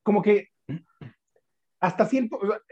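A man's voice: sits high, measured pace, darkish tone, highs cut off. A man with a Mexican accent, co-hosting a podcast in slangy casual chat with casual swearing. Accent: Mexican Spanish. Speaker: podcast co-host.

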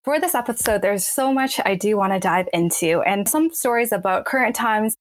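A woman's voice: medium pitch, dry recording. Woman, podcast host, upbeat and enthusiastic, home recording, cheap lapel mic. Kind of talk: scripted podcast intro then interview. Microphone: budget lapel mic.

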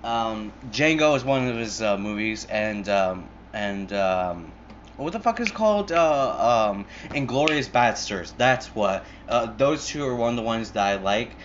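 A man's voice: fairly low pitch, lively intonation, measured pace, lo-fi sound, slight background noise. A young man hosting his own podcast alone, rambling casually with lots of fillers, restarts and swearing, recorded on an earbud mic. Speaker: podcast host. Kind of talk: casual solo podcast chat.

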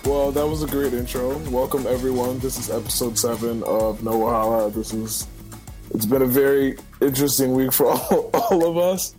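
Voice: mid-range voice, flat delivery, moderate pace, music in the background. A podcast co-host in casual banter, telling stories in slangy language and acting out what people said.

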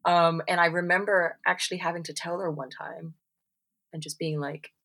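Low-pitched, bright-toned chatty woman. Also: fairly even intonation, moderate pace. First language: English. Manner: chatty, many fillers and "like"s; acts out quoted voices. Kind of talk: casual chat.

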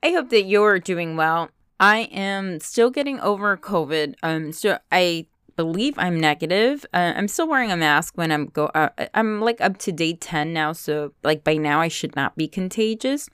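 A woman's voice: on the low side, animated, moderate pace, fairly bright tone, smooth, no echo, studio quality. Stuffy-nosed chatty woman podcast host. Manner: chatty, unscripted, many fillers and restarts, switches into Spanish phrases. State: stuffy from a cold, slight cough. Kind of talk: solo podcast monologue.

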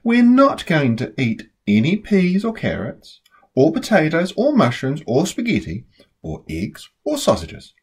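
Young storybook big brother, played by a woman, playful and patient, husky edge, unhurried. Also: low voice, huge pitch swings, a little mellow, pitched below the performer's own voice, heavily acted. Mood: matter-of-fact. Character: clever, patient older brother, a young boy. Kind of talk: storybook character voice.

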